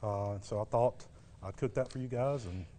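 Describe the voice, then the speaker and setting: A man's voice: lowish voice, normal speed, mellow. A middle-aged man giving a casual, instructive cooking demonstration as a guest barbecue cook, in a plain-spoken way. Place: TV studio kitchen set.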